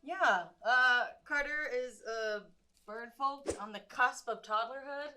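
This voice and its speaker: Bright-voiced woman playing a tabletop game. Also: sits high, slow pace, soft background music. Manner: dry, self-assured, casual. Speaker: player. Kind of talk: in-character tabletop roleplay.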